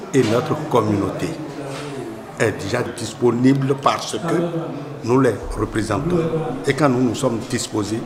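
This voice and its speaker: A man speaking emphatically and repetitively as a local elected official. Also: lowish voice. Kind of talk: statement at a meeting. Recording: echoey room with crowd murmur.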